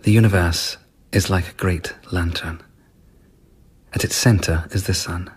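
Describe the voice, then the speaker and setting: An eccentric court mystic in a radio play. The voice is lowish and husky, with very slow, weighty phrasing. He declaims with solemn, earnest conviction. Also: fairly even intonation, full character voice. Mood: reverent, earnest. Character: eccentric Japanese court performer turned earnest spiritual mystic. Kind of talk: radio drama acting.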